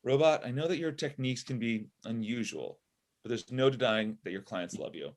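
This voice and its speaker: Guy acting sardonic inventor fiance, thirties, mid-pitched, comedy script read on video call. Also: animated, a little fast, lightly acted. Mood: wry. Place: small room at home.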